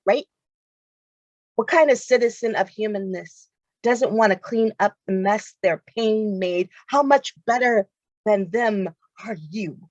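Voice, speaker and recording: A woman's voice: mid-range voice, no echo. Woman poet, hard-hitting spoken word, dry muffled call audio. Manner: intense, confrontational delivery.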